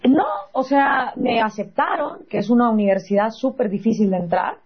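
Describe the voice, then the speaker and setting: A woman with a Guatemalan accent in conversation as an interview guest, telling personal stories in an animated, emphatic way. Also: fairly high pitch, moderate pace, breathy edge, muffled recording. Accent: Guatemalan Spanish.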